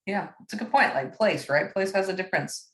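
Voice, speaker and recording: A woman's voice: mid-range voice, lively intonation, fairly quick, fairly bright tone, echoey room. A woman moderating a class discussion forum on a video call, talking conversationally and often checking in with "right?".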